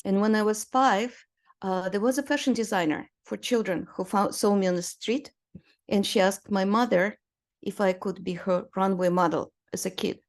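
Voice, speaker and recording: A woman in her sixties, originally from Romania, speaks as an interview guest. She talks in a storytelling way, full of small fillers and brief laughs. Her pitch sits in a middle range for a woman. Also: normal speed. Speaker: guest artist. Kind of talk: conversational interview.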